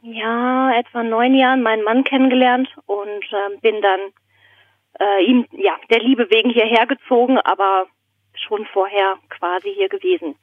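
A woman's voice: a little high, fairly even intonation, moderate pace, no echo. A woman from Germany chatting casually as a podcast guest over a phone line, in a hesitant, thoughtful manner. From Germany, born in Berlin, raised in southern Germany. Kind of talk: casual conversation, telling anecdotes and a joke. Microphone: phone call. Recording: thin, narrow telephone line.

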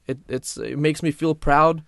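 A man's voice: a little high, unhurried, gravelly, a bit bright, no room sound, clean studio sound. A young man telling his story in a casual podcast chat, earnest and full of restarts. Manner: earnest, many restarts and "literally". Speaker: guest.

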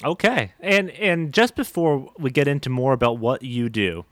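A man's voice: medium pitch, animated, moderate pace, no echo, clean studio sound. A man hosting a podcast, introducing his guest with upbeat enthusiasm. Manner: enthusiastic, with fillers and restarts. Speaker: podcast host. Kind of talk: casual interview hosting.

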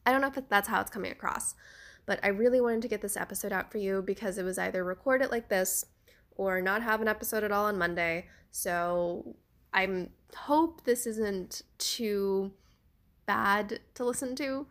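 A young woman talks straight to her listeners in a loose, chatty podcast ramble. Her voice sounds stuffed up and nasal from congestion, and she fills gaps with "like" and "you know." Her pitch sits in the middle range for a woman. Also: normal speed, a bit bright. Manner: chatty, lots of fillers. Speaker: podcast host; theater actor. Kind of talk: solo podcast chat.

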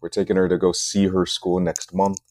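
Low mellow American man hosting. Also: little pitch movement, moderate pace, no echo. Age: middle-aged. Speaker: podcast host. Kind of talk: casual conversational interview hosting.

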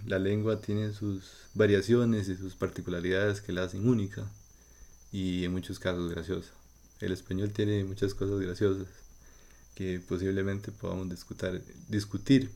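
Costa Rican male podcaster, low mellow voice with gravel, patient and measured teaching delivery. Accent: Costa Rican Spanish. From Costa Rica. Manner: measured, patient, thinking aloud in short phrases. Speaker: podcast host. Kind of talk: slow explanatory monologue for learners.

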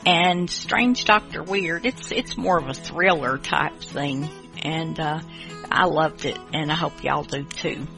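A woman radio host, introducing the show in a relaxed, chatty manner. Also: low voice, takes it slowly, creaky, over music.

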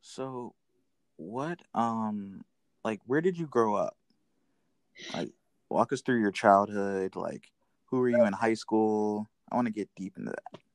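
A man hosts an informal interview, asking conversational questions. His voice is low in pitch. The sound is dry, with hardly any room echo. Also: unhurried, long pauses. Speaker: interview host.

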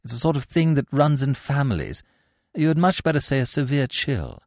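A flippant young gentleman, acted by a male reader, teasing and affected. His pitch swoops up and down through each phrase, and the sound is close and dry, as in an audiobook of a comic tale. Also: very animated, moderate pace, no echo.

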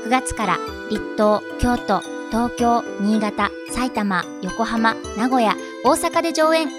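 An actress playing an announcer reading a scripted theatre advertisement on the radio. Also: female voice, a little high, slow pace, music in the background. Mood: bright promotional. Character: female announcer of a cheerful theatre promo.

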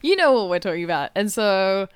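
A woman with an Australian accent co-hosting a podcast in casual, comedic chat, giggly and expressive in her reactions. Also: medium pitch, brisk, clear, resonant tone, dry recording. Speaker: podcast co-host.

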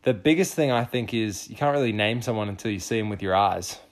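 A man's voice: on the low side, fast talker. Playful young man joking around. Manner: playful, laughs often, riffs with silly voices. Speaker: podcast host. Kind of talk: joking casual chat.

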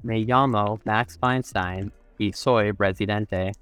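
A man's voice: fairly low pitch, steady tone, slow, fairly bright tone, smooth, soft background music. A man with an American accent, a native English speaker, chatting casually as an interview guest. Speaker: interview guest, resident physician. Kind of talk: casual, friendly interview chat.

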